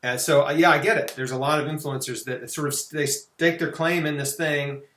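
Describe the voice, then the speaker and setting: A man's voice: a little high, fairly even intonation, brisk, a bit bright. A middle-aged man chatting informally and anecdotally as an interview host. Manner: informal, anecdotal, punctuated with "you know". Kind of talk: casual conversational interview. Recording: roomy, echoing sound.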